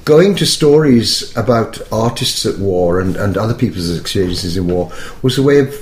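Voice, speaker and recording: A middle-aged or older man with an educated Welsh accent, a poet reflecting in an interview in a thoughtful, halting, self-correcting way. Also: lowish voice, lively intonation. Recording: echoey room.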